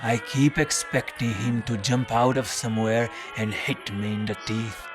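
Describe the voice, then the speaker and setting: An actor playing a wry, weary folk-hero detective in an audio drama, speaking in a measured, deliberate way. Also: male voice, medium pitch, fairly even intonation, lightly acted. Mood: dry, curious. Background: music.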